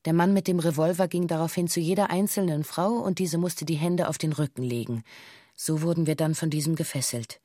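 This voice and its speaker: An actress playing a bank employee who gives a sober, formal witness statement in a radio drama, factual and detached. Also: female voice, sits low, steady tone, brisk, mellow, dry recording, clean studio sound. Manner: factual, detached, precise official phrasing. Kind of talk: scripted documentary radio drama, formal testimony.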